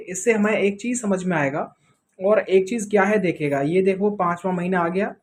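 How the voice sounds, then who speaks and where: An exam-prep presenter explaining things informally to students in a didactic way, checking in with rhetorical questions. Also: medium pitch.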